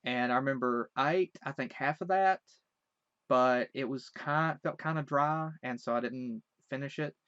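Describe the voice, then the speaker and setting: A man's voice: mid-range voice, moderate pace, fairly bright tone, clear, resonant tone, dry recording, lo-fi sound. A man from Virginia with a light Southern accent, casually recalling a trip in a rambling, hesitant way with many restarts. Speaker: vlogger. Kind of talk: casual recollection.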